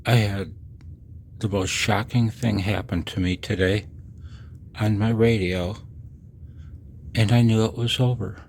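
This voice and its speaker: A man in his sixties giving a personal voice-over announcement, halting and reflective, with a husky low voice. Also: fairly even intonation, a little mellow, a little hiss or hum. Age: 60s. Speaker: amateur radio hobbyist and video narrator. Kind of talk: personal voice-over announcement, partly read from own text.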